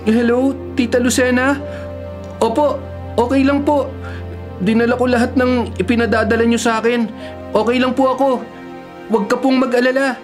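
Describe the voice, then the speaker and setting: Young Filipino college student, radio drama, troubled and cocky, expressive delivery, music bed behind. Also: male voice, extremely high pitch, moderate pace, long pauses. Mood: dutiful, reassuring. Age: young adult. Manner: expressive, melodramatic thinking aloud. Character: haunted male college student from the province, anxious yet cocky. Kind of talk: Filipino radio drama acting with spoken thoughts.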